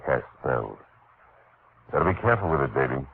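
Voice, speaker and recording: Deep hard-boiled radio detective. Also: male voice, big pitch swings, a little fast, vocal fry, heavily acted. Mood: dry, flirtatious. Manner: clipped, wry, world-weary delivery. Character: weary, hard-boiled male private detective, wry and tough. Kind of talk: 1940s radio noir acting and first-person narration. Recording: noisy, muffled old radio broadcast.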